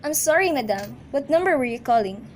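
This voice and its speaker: A woman's voice: sits high, animated, fairly quick. A woman acting in an amateur radio drama as a formal, courteous, unflappable telephone operator with formulaic phrasing. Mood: polite, routine. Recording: faintly noisy amateur recording.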